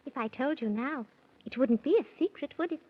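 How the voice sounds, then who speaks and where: A woman's voice: high voice, brisk. A woman playing a gracious, whimsical young queen, grand and matter-of-fact, in a 1930s children's radio serial. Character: gracious, regal, whimsical young queen of Looking Glass Land. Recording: muffled 1930s radio recording.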